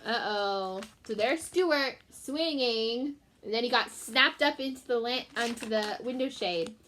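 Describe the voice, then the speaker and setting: A woman's voice: fairly high pitch, a little slow, a bit bright, slight background noise. A woman teacher reading a children's storybook aloud, expressively, with chatty asides and simple explanations for her pupils.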